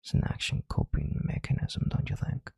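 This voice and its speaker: A man playing a calm, domineering CEO in an intimate audio roleplay, with a deep, gravelly, breathy voice and a measured, condescending yet tender manner. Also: no room sound, studio quality, natural voice. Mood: analytical, controlled. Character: calm, domineering male CEO soothing a panicked partner.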